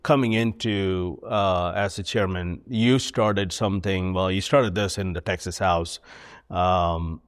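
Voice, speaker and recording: A middle-aged man from India with an Indian accent, a party chairman answering interview questions in a relaxed, rambling way with many fillers. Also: sits low, unhurried, clear, resonant tone. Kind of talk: conversational interview.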